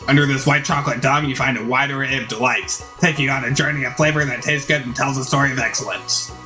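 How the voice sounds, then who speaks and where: A man's voice: mid-range voice, steady tone, fast, bright, forceful tone, soft background music, natural voice. Man putting on smug, sugary female chef rival. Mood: smug, flirty. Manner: sugary, boastful.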